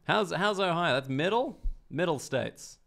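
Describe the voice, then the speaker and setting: A man hosting a podcast, asking quick, joking questions in casual chat. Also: a little high, lively intonation, creaky, a bit bright. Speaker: podcast host.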